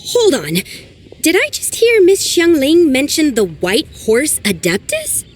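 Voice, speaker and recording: A woman's voice: high-pitched, wildly swooping pitch, a little slow, full character voice. A woman voicing an earnest young woman archaeologist who talks anxiously and sighs over her troubles. It is game dialogue. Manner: earnest and sighing. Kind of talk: game voice acting.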